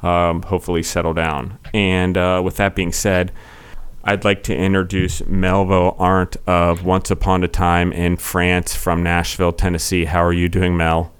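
A young man hosts a laid-back podcast chat, talking in a loose, rambling way full of fillers. His voice sits very low and stays fairly flat in pitch. It also comes across smooth and clear. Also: moderate pace. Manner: chatty, rambling with many fillers. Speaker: podcast host. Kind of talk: casual interview.

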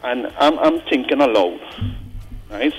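A man in his forties calling in to a radio show, thinking aloud earnestly with frequent pauses and tag questions like "right?". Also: mid-range voice, animated, breathy edge, faint music behind, poor audio. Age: 40s. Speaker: caller. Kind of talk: call-in radio commentary.

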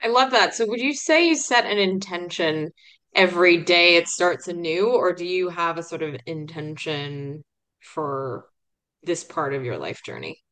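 A woman's voice: lowish voice, unhurried, a bit bright. A woman hosting an interview, asking questions in an encouraging, affirming way. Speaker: podcast host. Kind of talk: casual interview.

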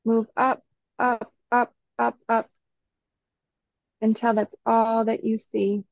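A woman with a slightly high voice guides a meditation very slowly. She gives soothing instructions in short phrases and leaves long pauses between them. The recording is dry and a little dull. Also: flat delivery, vocal fry, no echo.

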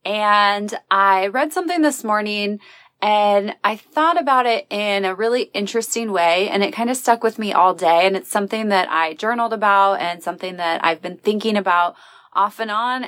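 A woman's voice: medium pitch, a bit bright, no room sound. A woman hosting an inspirational podcast, talking earnestly and encouragingly about faith. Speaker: podcast host. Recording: recorded as a live video.

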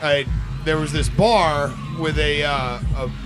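A man's voice: fairly high pitch, slow pace, music in the background, rough recording. A man in his forties from Maine, telling dry, rambling stories in a casual group chat. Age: 40s.

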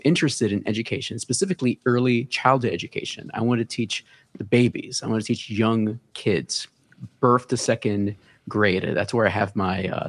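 A man, a podcast guest telling his story in relaxed casual talk full of fillers. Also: lowish voice. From the Bronx, New York. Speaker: guest, content creator.

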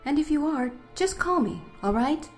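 Actress as a protective mom, kinda high voice that swoops around, fussy n loving, blunt, radio drama, bit of music under. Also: female voice, expressive, normal speed. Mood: fond, concerned. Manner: fussing, affectionate, blunt. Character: protective, teasing mother of a blind college student. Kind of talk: audio drama acting.

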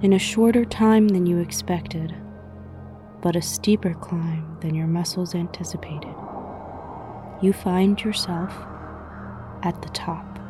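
A woman narrating a scripted guided meditation in a soothing, measured way with frequent pauses, her voice soft and breathy, often near a whisper. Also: fairly low pitch, fairly even intonation. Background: music.

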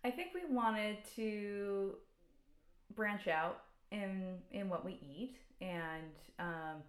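A woman's voice: medium pitch, very slow. A woman co-hosting a food podcast, speaking thoughtfully with many hesitations. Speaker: podcast co-host. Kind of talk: casual podcast chat. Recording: echoey room.

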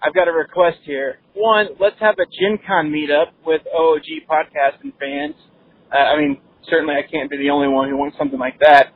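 A man's voice: on the high side, fairly even intonation, a little fast, breathy edge. A man leaving a joking voicemail for a podcast, listing playful requests. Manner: jokey, making playful requests. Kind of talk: recorded voicemail message. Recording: tinny phone line.

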